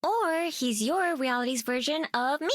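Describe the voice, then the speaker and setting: A young superheroine in a video game, high in pitch and recorded very dry. Her manner is perky, playful and teasing. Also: a little slow, no room sound. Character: cheerful, playful young female spider-powered superhero.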